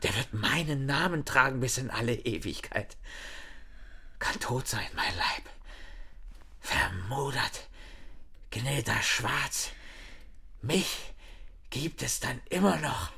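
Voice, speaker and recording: Obsessed male dikemaster, slow halting delivery, gravelly murmur, proud and brooding, radio play. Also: mid-range voice, expressive, bright, forceful tone, heavily acted. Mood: proud, dreaming, then morbid, defiant. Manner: brooding, halting, half-whispered monologue. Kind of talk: German radio drama acting.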